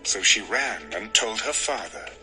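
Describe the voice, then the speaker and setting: A male narrator delivers scripture as dramatic storytelling. His pitch is on the low side and moves expressively up and down. Music plays clearly behind him. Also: animated, a little slow, sharp tone, low-quality recording.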